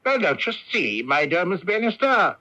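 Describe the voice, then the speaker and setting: An actor playing a fussy, ambitious, evasive barrister in a radio drama, with a pedantic, hedging, theatrical way of explaining. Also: male voice, high voice, sing-song, moderate pace, sharp tone, full character voice. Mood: pedantic, pleased. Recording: dull 1980s AM radio recording.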